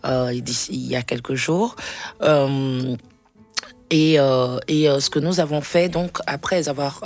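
A man interviewed about a cultural centre's awards, speaking in a measured way with many hesitations. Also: medium pitch. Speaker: cultural centre organizer, guest. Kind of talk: radio interview, explaining. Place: studio on a university campus.

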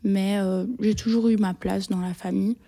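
A young woman from Belgium speaks in a soft-edged, mellow tone. Her pitch stays quite flat as she answers personal questions casually, pausing to search for words. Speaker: interview guest. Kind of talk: casual, personal interview talk.

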